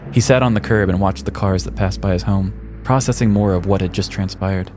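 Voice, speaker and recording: A man's voice: deep voice, fairly quick, music in the background. A man podcast host reading a scripted comedic story in a mock-dramatic way.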